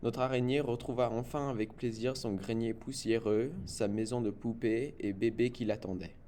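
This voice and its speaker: Young man reading a storybook, mellow and noisy. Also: medium pitch, steady tone, normal speed. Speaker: student reader. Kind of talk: read-aloud children's storybook narration. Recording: noticeable background noise.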